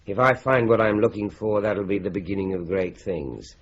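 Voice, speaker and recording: An actor playing a measured senior investigator who sums up the case, in a radio drama. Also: male voice, lowish voice, husky. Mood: reflective. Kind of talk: BBC-style radio drama acting. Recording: dull lo-fi radio play recording.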